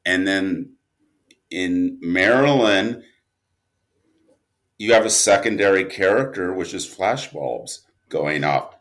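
A man's voice: low-pitched, slow pace, pauses often, a bit bright. A man speaking as an interview guest in casual, reflective conversation, rambling along in a self-deprecating way. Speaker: interview guest, audio drama writer and producer.

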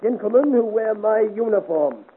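A man's voice: sits high, lively intonation, normal speed. An actor in a 1950s radio adventure serial playing a tall, defiant French cavalryman with an insolent, taunting manner. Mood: mocking, defiant. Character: tall, mocking, defiant cavalry trooper in 17th-century France. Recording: noisy, narrow-band old radio recording.

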